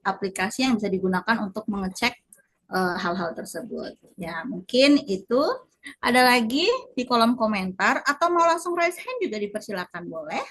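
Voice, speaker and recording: A woman's voice: mid-range voice, a bit bright. A woman moderating a webinar in a polite, semi-formal but conversational way, checking in with her listeners.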